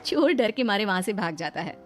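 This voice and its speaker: A woman's voice: mid-range voice, animated, brisk, soft background music. A woman narrating a scripted moral story.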